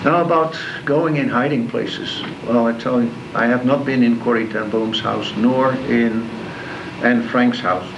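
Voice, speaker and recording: A man in his seventies from the Netherlands with a Dutch accent, speaking to a school class about his memories in a plain, measured storytelling manner. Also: medium pitch, fairly even intonation. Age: 70s. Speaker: guest speaker. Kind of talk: recounting wartime memories to students. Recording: distant, dull lo-fi tape with clear noise.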